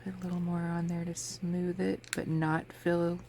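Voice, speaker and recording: A sniffly woman hosting a casual craft tutorial, chatting in a relaxed way as she works at her table. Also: fairly low pitch, moderate pace. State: sniffly from a cold. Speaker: crafting channel host. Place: home craft table with a fan running. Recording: faint fan noise in background.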